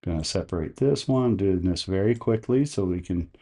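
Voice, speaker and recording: A man hosting a tutorial, narrating software steps one by one. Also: low voice, expressive, moderate pace. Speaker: tutorial host.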